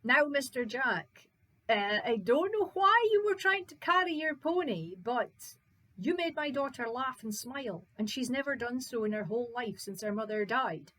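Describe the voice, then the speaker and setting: A woman voicing a kindly, grieving middle-aged baron in a told folk tale who speaks in a warm, formal, gently commanding way. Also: fairly high pitch, lively intonation, normal speed, low-quality recording.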